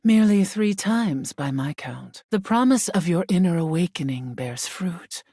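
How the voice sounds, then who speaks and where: An actress voicing a video game goddess of air, haughty and stern, with solemn formal phrasing and a breathy voice that often sinks into whisper. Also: female voice, on the low side, lively intonation, unhurried, warm tone, dry recording, clean studio sound, full character voice. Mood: measured approval. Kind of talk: scripted video game character acting.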